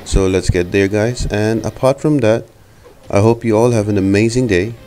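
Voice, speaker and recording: A man's voice: fairly low pitch, normal speed, warm tone, faint music behind. A man narrating a video game guide as the host, explaining things directly to his viewers.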